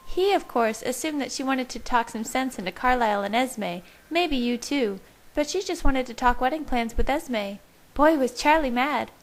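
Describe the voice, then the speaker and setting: Bubbly, bossy young vampire girl for a storybook, acted by a woman; very high voice, excitable and emphatic. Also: rough recording. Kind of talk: audiobook character voice.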